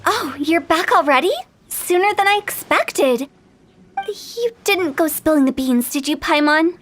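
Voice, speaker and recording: A woman's voice: sits high, expressive, measured pace, slight background noise. An actress voicing a playful, mischievous young female funeral parlor director in a video game, teasing and lilting.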